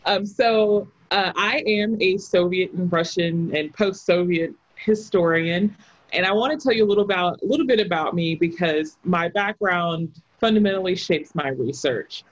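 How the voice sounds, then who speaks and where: A woman's voice: on the low side, moderate pace. A young adult woman from rural Texas with a Texan accent, giving a conversational lecture from a home office over a video call. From rural Southeast Texas. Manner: conversational, personal, lively hand gestures. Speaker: guest lecturer, historian. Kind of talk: online lecture. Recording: dull lo-fi video call audio.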